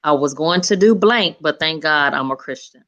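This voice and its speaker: Woman host, kinda low voice, chatty interview, very encouraging. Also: moderate pace, a bit bright, dull audio. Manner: encouraging, echoes and affirms what guests say. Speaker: show host interviewing guests.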